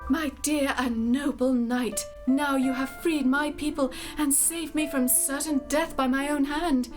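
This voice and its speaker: A young noble damsel of medieval romance, loving and grateful. Her voice is high and her words courtly and formal, with music playing behind her as in a radio play. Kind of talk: audio drama acting of medieval romance.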